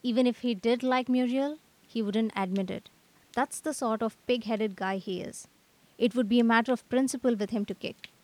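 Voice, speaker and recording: A woman's voice: a little high, normal speed, a little mellow, no room sound, close to an everyday voice, rough recording. A woman playing an anxious, hesitant young male painter in a radio comedy. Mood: worried. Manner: hesitant, fretful, period slang.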